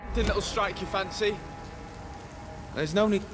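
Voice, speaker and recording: A man's voice: sits high, normal speed, close to an everyday voice. A man playing a wary, defiant working-class street trader in a video game, blunt and colloquial. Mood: grateful, salesmanlike. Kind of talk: video game character acting. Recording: music behind dialogue.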